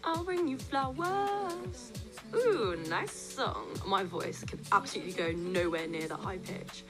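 A young woman, about twenty, hosting a student radio show in casual, jokey, opinionated chat, her voice a bit croaky. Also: on the high side, unhurried, over loud music. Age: young adult.

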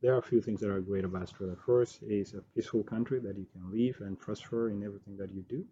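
A man from Sudan who works as a school learning support officer, answering interview questions in a measured, slightly halting way. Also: steady tone, warm tone, husky. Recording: dull low-fidelity school recording.

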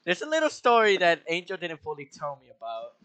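A man's voice: high voice, fairly quick, clean tone, dry recording. A man in his twenties hosting a casual podcast chat, swearing often and laughing between lines. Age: 20s. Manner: profane, animated, laughs often. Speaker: podcast host.

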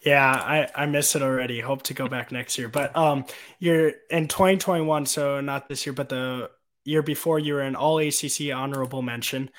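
A man's voice: mid-range voice, fairly even intonation, moderate pace, fairly bright tone. A man hosting a podcast, asking long, rambling questions with plenty of "um" and "uh" in a casual tone. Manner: rambling questions with filler words. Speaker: podcast host.

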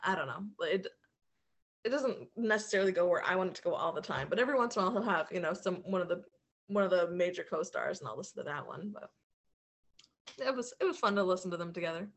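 Female podcast co-host with a lowish voice, informal, meandering, feeling her way through sentences. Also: animated, fast talker. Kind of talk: casual chat about shows and comics.

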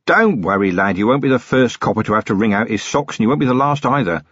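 Man acting a gruff, lively veteran police commander. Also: mid-range voice, fast, no echo, muffled recording. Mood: reassuring. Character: seasoned, gruff, reassuring male police commander.

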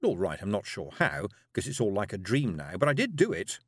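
A man's voice: fairly low pitch, huge pitch swings, brisk, dry recording, studio quality. A man voicing a proud, indignant young girl witch in a storybook, defiant but dutifully polite.

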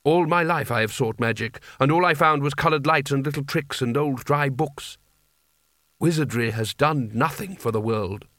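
A weary senior wizard voiced by a male narrator chooses his words with care. He is deferential and cautious, hesitating in a medium-pitched storybook voice. The sound is dry and close. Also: normal speed, fairly bright tone, no echo, lightly acted. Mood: weary, resigned. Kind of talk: audiobook character voice.

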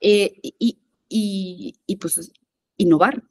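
A woman talks as an interview guest, working her thoughts out aloud with frequent fillers and self-corrections. She slips English business terms into her sentences. Her pitch sits in a middle range, and the sound is dry and close. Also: fairly even intonation, slow pace, a little mellow, dry recording. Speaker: interview guest, innovation consultant. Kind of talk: conversational podcast interview.

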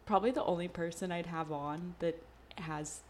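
A woman hosts a relaxed podcast conversation. Her voice is on the low side. She talks in loose, chatty phrases with lots of "um" and "like.". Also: moderate pace, a little hiss or hum. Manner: chatty, upbeat, lots of likes and ums. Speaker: podcast host. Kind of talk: casual podcast chat.

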